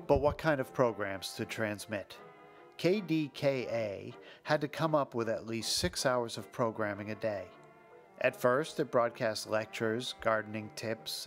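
A man reading scripted documentary narration in a conversational storytelling manner with wry asides, as the host. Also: medium pitch.

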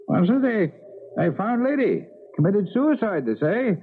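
A folksy old country man in a 1970s radio play, with a high, lively voice. He rambles and gossips like a small-town local, on a slightly noisy old recording. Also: normal speed. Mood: folksy, gossipy. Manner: folksy, rambling rustic talk. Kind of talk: 1970s radio drama acting. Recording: lo-fi 1970s radio, faint noise.

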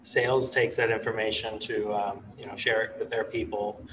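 A man in his thirties, an executive chef, speaking in a relaxed, rambling way on a video call from his living room. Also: lowish voice, moderate pace, recorded from a distance, background noise. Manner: relaxed, rambling, many "you know" and "like" fillers. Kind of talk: casual interview over video call. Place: living room with a stone fireplace.

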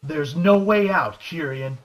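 A man's voice: on the high side, slow. A man playing a determined government agent in an arcade zombie game, with stilted, declamatory delivery. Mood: solemn. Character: determined male government agent hunting a villain among zombies. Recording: echoey, distant game voice clips.

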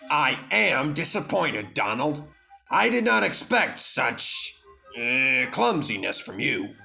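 Casting: man as disapproving storybook railway director, pompous and dry, deliberate pace, music behind. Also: medium pitch, sing-song, creaky, bright, forceful tone, full character voice. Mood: annoyed. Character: stern, pompous male railway boss.

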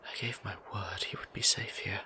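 A man voicing a gentle, easily flustered male vampire priest who talks in a soft whisper and stammers when nervous, for an ASMR audio roleplay. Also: fairly low pitch, steady tone, moderate pace. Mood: pleading.

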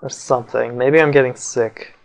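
A man's voice: medium pitch, fairly even intonation, normal speed, creaky. A young man giving casual, self-mocking commentary over a video game, with his voice feeling a little off. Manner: wry, self-mocking, mutters asides. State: voice feels off, maybe getting sick. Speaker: let's play commentator. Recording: faint game music behind.

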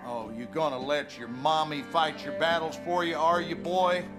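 Man acting a gruff, bullying Southern father. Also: a little high, fairly even intonation, moderate pace, music in the background, natural voice. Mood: angry scolding. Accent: American South. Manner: gruff, folksy, scolding. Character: harsh, bullying rural father, stern and bitter. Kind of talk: dramatic video game cutscene acting.

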